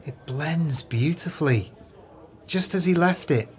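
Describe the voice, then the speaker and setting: An actor playing a sly, callous swindler's sidekick in an audio play, wordy and smoothly flattering. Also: male voice, on the high side, measured pace. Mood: gleefully plotting. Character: sly crooked swindler's accomplice, wheedling and callous.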